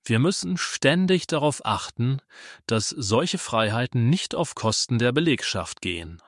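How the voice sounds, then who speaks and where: A pragmatic works councillor with a sarcastic streak, acted by a man for a satirical radio play. His delivery is measured, with an average male pitch and no room sound at all. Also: big pitch swings, fairly bright tone, dry recording, studio quality. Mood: dry, firm. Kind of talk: German radio play acting.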